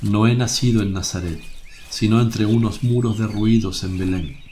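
An actor playing a serene, kindly religious teacher in a radio drama, speaking in a measured, solemn way. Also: male voice, on the low side, dark tone, slight background noise, natural voice. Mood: gentle.

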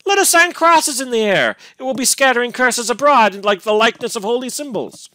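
Male narrator as enraged young lover, gothic storybook, scornful, declamatory, venomous, raised pitch, sharp dry sound. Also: animated, brisk, no room sound, heavily acted. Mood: scornful fury. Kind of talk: dramatic gothic storybook reading.

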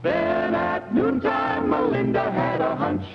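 A man's voice: very high-pitched, wildly swooping pitch, slow. A man singing a folksy hillbilly-style soup jingle in a sing-song manner. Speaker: jingle singer. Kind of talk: sung ad jingle, hillbilly ballad. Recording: dull vintage soundtrack with music.

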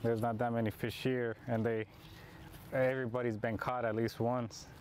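A man's voice: medium pitch, level tone, moderate pace, a little mellow, slight background noise. A man in his thirties talking casually while fishing at an outdoor park pond, explaining his technique as he goes, picked up by a camera mic at some distance. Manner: laid-back, explains as he fishes. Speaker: fishing vlogger.

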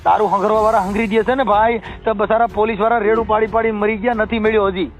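A man's voice: high-pitched, flat delivery, quick pace, soft background music. A man with a Kathiawadi Gujarati accent arguing his opinion emphatically on a recorded phone call, repeating phrases for stress.

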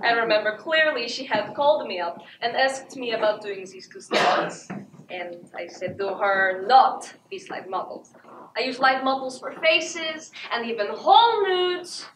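A teenage female student playing an excitable, candid woman artist in a stage monologue. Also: high-pitched. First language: German. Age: teens. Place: theatre stage before an audience. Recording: echoey theatre, distant camera, background noise.

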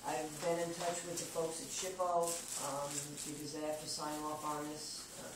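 A plain-spoken official talks through a report at a board table, circling back and restarting as they think. The sound comes from a camera across a big echoey room with a steady noise underneath. The voice sits at an ordinary middle pitch. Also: moderate pace, distant mic. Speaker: water department official giving a report. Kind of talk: casual meeting report. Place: meeting room around a conference table. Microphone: far camera.

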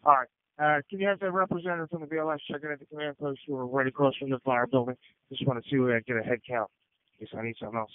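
A man gives rapid, clipped fireground reports as incident commander. His voice is smooth and clear, but it comes through a narrow, tinny radio channel with no room sound at all. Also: medium pitch, steady tone, no room sound. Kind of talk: fire radio traffic. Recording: phone-like scanner radio audio.

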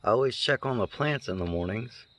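A man's voice: mid-range voice, lively intonation, fairly quick, fairly bright tone. A middle-aged man filming himself in his backyard garden, talking casually and sounding amazed as he thinks aloud. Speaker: homesteader vlogger. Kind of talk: casual handheld vlog. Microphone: phone camera held close.

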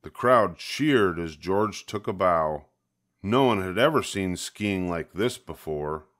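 A man's voice: deep voice, lively intonation, slow, a bit bright. An older man reading a children's picture book aloud, expressively, leaning on key words. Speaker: storybook reader.

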